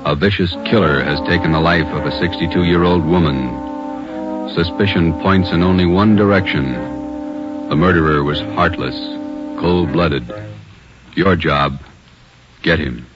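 Deep solemn radio announcer man. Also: unhurried, long pauses. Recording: dull old radio recording with loud music.

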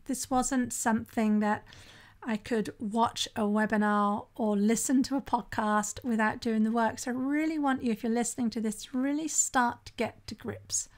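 A woman in middle age hosts her own podcast alone. Her voice sits a little high, and she talks in a loose, conversational way, stopping to restart a phrase and asking "right?" to keep the listener with her. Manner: conversational, often asks "right?", occasional restarts. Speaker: podcast host and sober-living coach. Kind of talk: solo podcast monologue.

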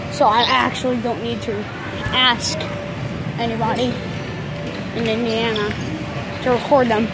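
A man's voice: very high voice, level tone, unhurried, loud music behind the voice, poor audio. A teenage boy vlogging on a swinging handheld phone in a large indoor sports complex, rambling and repeating himself. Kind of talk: casual handheld chat. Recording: loud crowd and noise behind.

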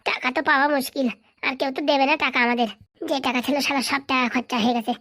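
A woman's voice: high-pitched, fairly even intonation, very fast, a bit bright, no room sound, studio quality. A woman voicing a timid, gullible village boy who stammers and whines nervously. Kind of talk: comic cartoon voice acting.

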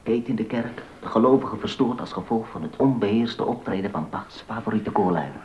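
Low-voiced, shrewd confidant played by a man. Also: lively intonation, a little fast, a little mellow, lightly acted. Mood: knowing, urgent. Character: shrewd, knowing confidant advising a friend. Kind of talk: Dutch docudrama acting. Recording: dull sound with faint rumbling noise.

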